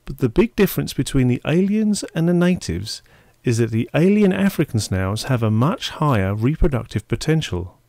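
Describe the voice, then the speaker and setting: A man giving scripted narration for a public information film in a measured, informative way. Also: mid-range voice, mellow, no room sound, clean studio sound. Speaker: documentary narrator.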